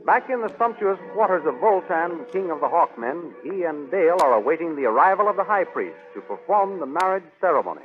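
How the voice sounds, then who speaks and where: High-voiced 1930s radio announcer man. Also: lively intonation, a little fast, hardly any pauses, faint music behind. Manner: dramatic, declamatory, showman-like. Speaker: announcer and narrator. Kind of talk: 1930s radio serial narration. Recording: tinny 1930s radio recording.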